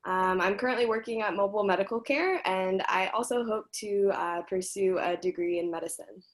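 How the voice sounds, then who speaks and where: A woman's voice: medium pitch, fairly quick. Friendly young woman from Georgia. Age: 20s. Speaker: service corps volunteer.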